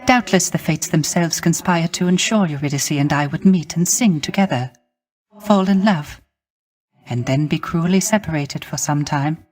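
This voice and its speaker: A man playing a gentle, melancholy dead musician in a video game, with a soft, light voice and wistful, courtly, hesitant phrasing. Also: a little high, moderate pace, natural voice. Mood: gracious, pleased. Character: gentle, melancholy dead male musician mourning lost love. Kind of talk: theatrical video game character acting.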